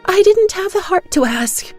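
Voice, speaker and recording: A woman's voice: very high voice, animated, moderate pace, a little mellow, faint music behind, pitched above the performer's own voice. A woman voicing a frightened, anxious woman accomplice in an audio drama, insistent and defensive as she talks. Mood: defensive, guilty. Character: Gloria, panicky frightened woman accomplice on the phone. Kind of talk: audio drama character acting.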